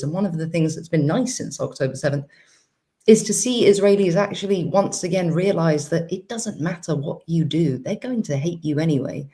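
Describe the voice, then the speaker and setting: A woman's voice: sits low, mellow. A woman on a discussion panel speaking bluntly and emphatically. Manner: emphatic, blunt, quotes herself. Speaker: panel guest.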